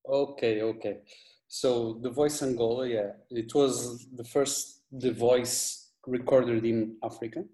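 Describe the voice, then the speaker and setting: A man from Portugal with a Portuguese accent, a guest video editor who talks in a halting way, full of restarts and fillers. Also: mid-range voice, fairly even intonation, mellow, reverberant. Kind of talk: casual interview chat.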